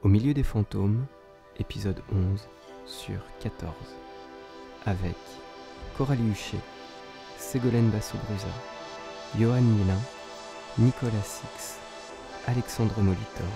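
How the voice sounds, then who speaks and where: A man announces credits from a script. His delivery is slow and creaky, with music playing clearly behind him. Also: fairly low pitch.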